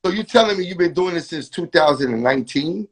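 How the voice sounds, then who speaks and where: A man's voice: a little high, animated, fast, slightly rough. A man hosting a casual interview, asking questions with playful, teasing interjections. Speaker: interview host.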